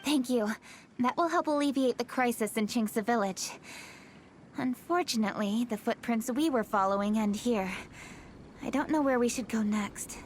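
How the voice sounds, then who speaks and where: A woman's voice: a little high, normal speed, slight background noise, lightly acted. A woman voicing a poised, dutiful young woman official in a video game, speaking formally and with self-assurance. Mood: formal, composed. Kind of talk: English video game voice acting. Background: background music.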